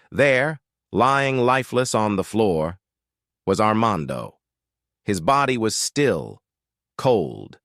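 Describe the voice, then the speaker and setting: A man's voice: fairly low pitch, fairly bright tone, no echo, studio quality. A man narrating a suspenseful mystery story in a dramatic, scripted style.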